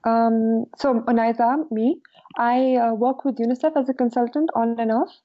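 A woman's voice: a little high, fairly even intonation, moderate pace. A woman from Kashmir, answering interview questions in an articulate, reflective way with frequent fillers. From Kashmir, India. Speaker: newsletter co-founder, guest. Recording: dull lo-fi sound.

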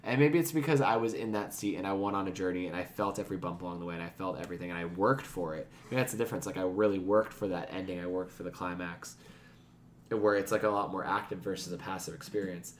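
A man's voice: low-pitched, quick pace. A man co-hosting a podcast, talking through his opinions in a casual, reflective discussion with some background noise. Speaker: podcast co-host.

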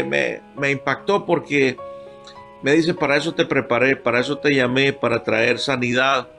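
A man's voice: a little high, fairly bright tone. Older Honduran man giving heartfelt testimony. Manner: heartfelt, halting, moved to tears at times. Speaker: pastor guest. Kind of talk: emotional personal testimony.

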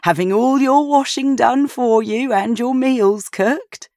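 A woman's voice: a little high, slow, a little mellow, no echo, studio quality, pitched above the performer's own voice. A woman voicing a cheerful, chatty, genteel grandmother in her eighties in an audiobook. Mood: chatty, pleased. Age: 80s.

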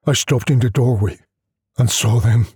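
A man narrating a literary story in a measured, solemn way, with a husky, breathy voice. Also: mid-range voice, a little mellow, dry recording, studio quality. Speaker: audiobook narrator.

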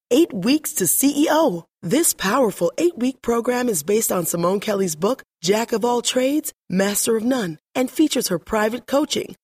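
A woman's voice: on the high side, darkish tone, slightly rough. A woman announcer reading scripted promotional copy for a radio advertisement.

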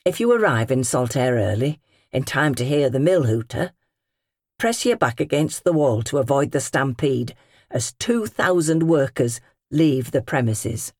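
A woman's voice: rumbling low, lively intonation, measured pace, a little mellow, no room sound, studio quality, heavily acted. A British woman narrator voicing an earnest, formal young working man reading out his polite letter in an audiobook. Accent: British English.

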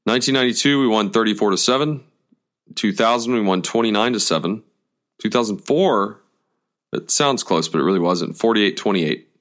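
A man's voice: fairly low pitch, brisk, a bit bright. A man from Atlanta co-hosting a casual sports talk show, reading out old scores and stats in a plain way. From Atlanta, Georgia. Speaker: co-host.

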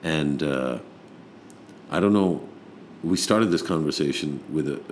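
A man with a very deep, low voice talks with passion and a sardonic edge, repeating words as he drives his point home. There is a faint hum of noise under the recording. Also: unhurried. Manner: impassioned, sardonic, repeats words when emphatic. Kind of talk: casual political discussion.

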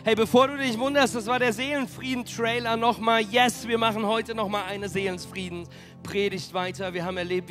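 A man preaching as pastor, energetic and rallying his congregation, with a cold that makes him hoarse. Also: high-pitched. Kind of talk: sermon. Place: church hall.

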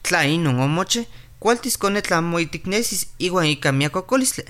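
An actor playing a calm clinic doctor in a radio drama, explaining and reassuring. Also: medium pitch, normal speed, close to an everyday voice. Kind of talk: radio drama narration and acting. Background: music.

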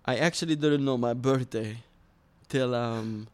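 A man's voice: medium pitch, unhurried, darkish tone, smooth. Earnest man telling his story. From Iraq. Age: 30s. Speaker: interview guest. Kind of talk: personal storytelling in an interview.

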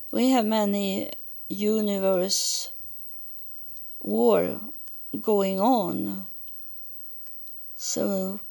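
A woman from Sweden with a Swedish accent, speaking English as a second language in a halting spiritual podcast monologue, searching for words. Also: mid-range voice, vocal fry, dark tone. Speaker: podcast host relaying spiritual messages.

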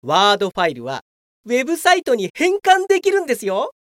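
A woman's voice: on the high side, huge pitch swings, fairly quick, no echo, clean studio sound. A woman playing a cocky, clueless young male office worker in a comedic radio drama, theatrical and self-satisfied. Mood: smug.

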